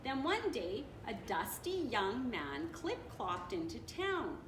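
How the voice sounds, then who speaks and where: A woman reads a storybook aloud in a fairly high, very lively voice. She is playful and dramatic, adding small asides as she goes. The recording is roomy, with noticeable noise behind her. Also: takes it slowly. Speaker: storyteller reading a picture book aloud. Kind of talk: animated children's read-aloud with asides. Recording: roomy echo with clear background noise.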